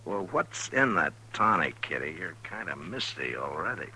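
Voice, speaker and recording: Deep-voiced, wry frontier marshal. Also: male voice, big pitch swings, moderate pace, bright, forceful tone, slightly rough, heavily acted. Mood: wry, skeptical. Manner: dry, sardonic. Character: frontier US marshal, gruff, wry, unhurried lawman. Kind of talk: 1950s radio western acting. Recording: old radio broadcast, hum and hiss.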